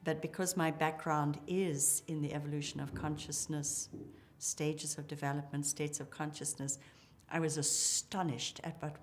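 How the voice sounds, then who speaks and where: A woman's voice: deep voice, flat delivery, normal speed, a little hiss or hum. A middle-aged woman speaking as a psychologist in an interview, articulate and enthusiastic. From Zimbabwe. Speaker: psychologist, clinic co-founder. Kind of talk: interview account.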